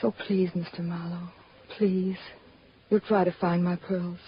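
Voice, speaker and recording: A woman's voice: fairly low pitch, flat delivery, close to an everyday voice. Woman playing a wistful, lovelorn wife, muffled old radio. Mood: pleading, anguished. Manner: earnest, halting, emotional. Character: wistful, lovelorn married woman clinging to a lost love. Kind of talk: 1940s radio drama acting. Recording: muffled old radio recording, faint noise.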